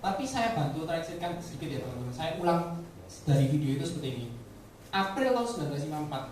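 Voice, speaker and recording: A woman's voice: deep voice, lively intonation, rapid-fire, darkish tone. Woman speaking in a very echoey room. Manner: rhetorical questions, frequent direct address to listeners; mixes English words into speech. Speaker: insurance agent giving a motivational talk. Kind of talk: motivational business presentation to colleagues. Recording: very echoey room, distant mic, faint noise.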